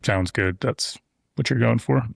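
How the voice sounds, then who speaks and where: A man's voice: medium pitch, a little slow, vocal fry, slightly rough, dry recording. A man hosting a podcast in a casual conversation, talking at length with loose asides on a close studio mic. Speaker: podcast host. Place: insulated shop-building studio.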